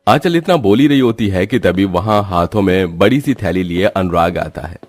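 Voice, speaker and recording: A man's voice: sits low, lively intonation, normal speed, no room sound. A man giving scripted narration for a cartoon story.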